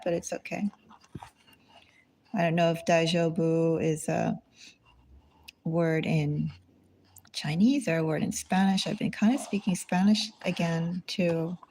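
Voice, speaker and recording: A woman's voice: deep voice, a little mellow, a little hiss or hum, rough recording. A woman giving a casual tarot reading, rambling and thinking aloud, asking herself small questions as she goes. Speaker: tarot reader. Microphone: phone.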